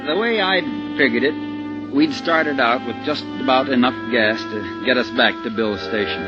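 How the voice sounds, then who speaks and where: A man's voice: medium pitch, expressive, normal speed, natural voice. A man playing an anxious middle-aged husband driving at gunpoint, in folksy, tense 1950s radio suspense style. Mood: tense narrating. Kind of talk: 1950s radio suspense acting with first-person narration. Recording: muffled old radio recording with music behind.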